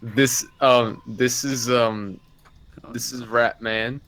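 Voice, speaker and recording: Man, tabletop player, slow pace, halting casual chat, faint noise with insects. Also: mid-range voice, fairly even intonation, a bit bright.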